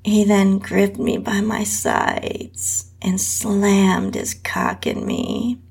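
Slow, husky woman narrator with creaky voice. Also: medium pitch, little pitch movement, darkish tone. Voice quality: husky, breathy. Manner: slow, drawn-out reading with whispery dips. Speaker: host and story narrator. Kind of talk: scripted first-person erotic story narration.

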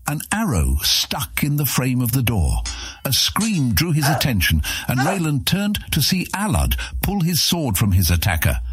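Deep, expressive male storyteller. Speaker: audiobook narrator. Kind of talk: dramatic scripted fantasy narration.

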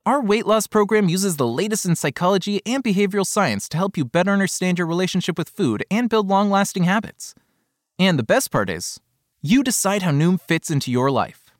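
A man's voice: sits high, expressive, fairly quick, no echo, studio quality. A man reading a scripted modern commercial voice-over. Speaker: advertisement voice-over.

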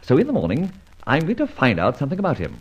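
An actor playing a suave, teasing gentleman adventurer in an old radio serial, with urbane, theatrical diction. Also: mid-range voice, huge pitch swings, fast, slightly rough, dull audio, exaggerated voice. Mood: brisk, conspiratorial. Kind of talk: old radio serial acting, with first-person narration.

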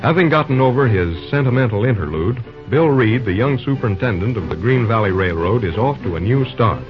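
A man's voice: mid-range voice, lively intonation, brisk. A man announcing and narrating an old-time radio serial in a scripted style. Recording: muffled old radio recording. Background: music.

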